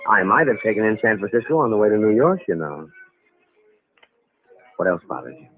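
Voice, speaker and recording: An actor playing a smooth, calculating American impostor in a hard-boiled mid-century radio thriller, slangy and cool. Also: male voice, on the low side, very animated, brisk. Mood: guarded. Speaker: actor and first-person narrator in a radio drama. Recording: tinny vintage radio recording, music behind.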